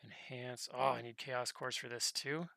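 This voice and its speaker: A man's voice: mid-range voice, level tone, normal speed, gravelly, sharp tone, no echo. A man doing casual, easygoing video game commentary, thinking aloud as he plays. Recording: game music behind voice. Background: video game music.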